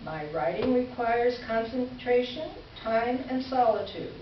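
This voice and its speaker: A woman's voice: a little high, animated, measured pace. Woman playing an indignant, sarcastic writer in an echoey hall. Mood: exasperated sarcasm. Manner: pointed, exasperated, dryly sarcastic. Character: indignant, sarcastic female writer dictating a complaint letter. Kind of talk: staged reader's theater letter reading. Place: indoor stage presentation before an audience. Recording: echoey hall from far off, dull, faint hiss.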